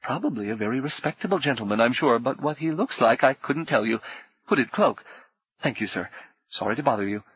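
A man's voice: medium pitch, lively intonation, fairly quick, breathy edge, dry recording, highs cut off. A man voicing a nervous, stout male innkeeper who stammers and rambles anxiously. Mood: flustered.

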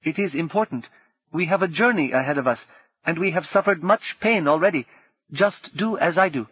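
A man's voice: a little high, animated, normal speed, muffled recording, pitched above the performer's own voice, close to an everyday voice. A man reading an audiobook, voicing an earnest male guide who speaks solemnly and simply. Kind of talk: audiobook character voice.

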